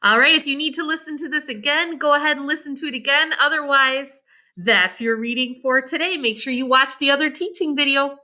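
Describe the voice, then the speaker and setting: A woman's voice: high-pitched, fairly quick, pushed and bright. A woman teacher reading a children's story aloud, expressively and with teacherly emphasis.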